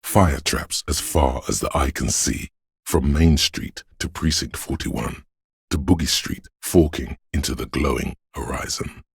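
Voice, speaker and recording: An actor voicing a brooding, mystical inner voice in a video game, narrating in a hushed, solemn, measured and poetic way. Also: male voice, no echo, studio quality, full character voice. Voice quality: very deep, gravelly, husky. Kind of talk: slow atmospheric scripted game narration.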